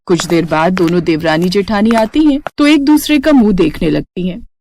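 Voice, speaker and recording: A woman's voice: on the low side, lively intonation, moderate pace, darkish tone, slight background noise. A woman narrating a scripted moral story. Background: music.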